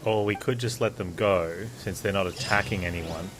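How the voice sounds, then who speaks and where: Guy streaming a video game, kinda deep voice, chill rambly commentary, faint noise behind him. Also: moderate pace. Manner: rambling, offhand. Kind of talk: casual gameplay commentary.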